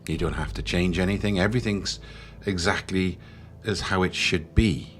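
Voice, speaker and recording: Male meditation guide, deep voice, soothing and unhurried, leaving long pauses, soft music underneath. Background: background music.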